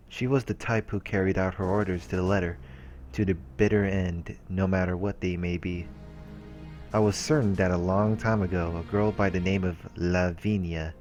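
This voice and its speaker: A man with a low-pitched voice hosts a let's play, reading story text aloud and adding casual remarks. He sometimes trips over and repeats words, with game music behind him. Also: a little mellow.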